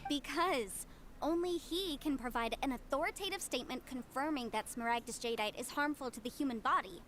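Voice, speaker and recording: A woman's voice: high-pitched, a little fast, fairly bright tone, a little hiss or hum. A woman playing a confident young legal adviser in a video game, formal, articulate and polite. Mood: brisk, assured. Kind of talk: scripted game dialogue.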